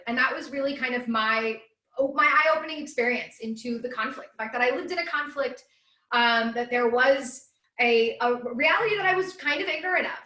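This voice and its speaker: A woman's voice: sits high, sharp tone, roomy sound, rough recording. A woman in her thirties, originally from Los Angeles, giving an upbeat live webinar talk from home in a chatty storytelling way with frequent fillers. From Los Angeles, USA. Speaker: guest speaker, educator.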